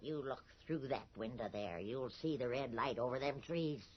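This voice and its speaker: A woman playing a hard, knowing small-town landlady, terse, unhurried and taunting, in a radio drama. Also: very deep voice, slightly rough. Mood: coldly knowing. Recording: muffled early 1980s radio broadcast, music bed.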